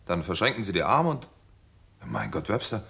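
A determined doctor playing detective speaks in a low male voice. He is earnest and emphatic, with a readiness to confront, in the manner of a sixties German radio thriller. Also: wildly swooping pitch, normal speed, creaky, fairly bright tone, breathy edge, full character voice. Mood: earnest, insistent. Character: American doctor, indignant, determined amateur sleuth and narrator. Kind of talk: 1960s German radio crime drama acting.

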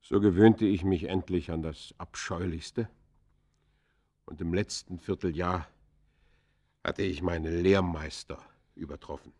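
An actor playing an embittered ex-convict in a radio play, confessing in theatrical, literary that swings from hushed to fierce. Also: male voice, low voice, fairly even intonation, measured pace, pauses often. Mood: vengeful, fierce. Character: embittered male ex-convict confessing his descent into crime. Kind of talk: German radio play acting, literary monologue.

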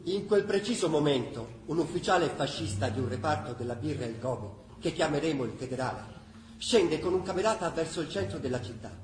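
A male storyteller played by an actor narrates a wartime radio drama in a fairly high voice. The delivery is scripted theatre narration. The room is echoey, with faint noise. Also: lively intonation, fairly quick, lightly acted. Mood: narrating. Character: storyteller chronicling wartime Florence.

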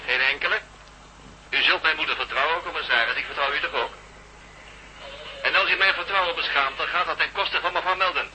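An actor playing a cold, commanding kidnapper in a radio drama, curt and menacing, speaking over a telephone. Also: male voice, fairly high pitch, a little fast, pauses often, sharp tone. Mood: coldly menacing. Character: cold, commanding villain kidnapper phoning in demands. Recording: voice over telephone line, faint noise.

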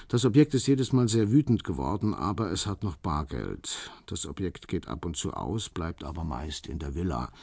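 An actor playing a shrewd, businesslike Russian man in a radio crime drama, speaking in a formal, measured way like someone giving a briefing. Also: low-pitched, creaky, a little mellow, breathy edge, dry recording, exaggerated voice.

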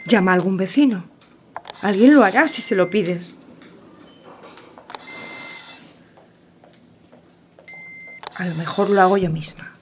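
A woman's voice: mid-range voice, fairly quick, a little mellow, a little hiss or hum, lightly acted. An actress playing a worried, weary mother of an injured child in a radio drama. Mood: weary, hesitant.

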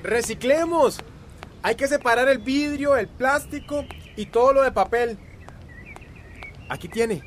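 High-pitched eager young grandson. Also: male voice, a little slow, pauses often, a little hiss or hum. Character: eager, good-natured young grandson, recycling volunteer. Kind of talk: radio drama acting.

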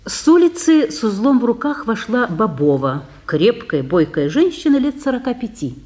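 A woman's voice: fairly high pitch, big pitch swings, normal speed, dark tone. A woman narrator reading scripted stage directions for a radio broadcast of a play.

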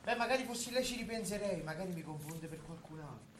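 Man acting as slippery doctor, high-pitched voice, smooth and evasive, stage comedy, roomy sound. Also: lively intonation, quick pace, recorded from a distance. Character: smooth, evasive doctor who is secretly scheming. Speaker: stage actor. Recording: roomy theatre recording with background noise.

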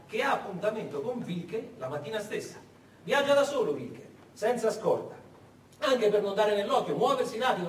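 A male stage storyteller delivers a dramatic historical narration, often putting questions to his listeners. His voice is high and swings widely in pitch, heard in an echoey room. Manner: dramatic, addresses the audience with rhetorical questions. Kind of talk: theatrical narration of wartime history. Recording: echoey room, distant mic, faint noise.

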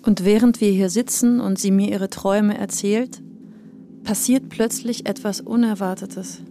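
A woman's voice: mid-range voice, fairly even intonation, measured pace, warm tone. A woman reading a scripted first-person narration, as in a radio feature.

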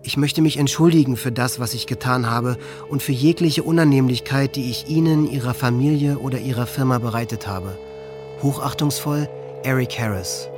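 A man's voice: medium pitch, moderate pace, over music, lightly acted. An actor playing an angry, manipulative teenage boy in an intense, confiding radio drama monologue in German. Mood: feigned contrition. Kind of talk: German radio docudrama acting, diary monologue.

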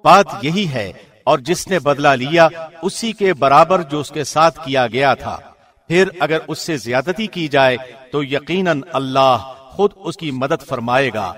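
A man reads a scripture translation from a script like a radio presenter, formal and measured, with clear phrasing. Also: mid-range voice, lively intonation, fairly bright tone.

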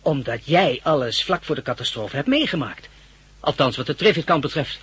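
An actor playing a brisk, eager, bossy male colleague in a 1960s radio drama. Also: medium pitch, animated, a bit bright, husky. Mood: insistent.

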